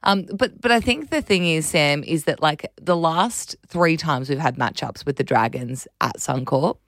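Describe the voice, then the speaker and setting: A woman with a raspy voice and an Australian accent, co-hosting breakfast radio with casual, animated chat about sport. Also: low-pitched, normal speed, no echo, studio quality.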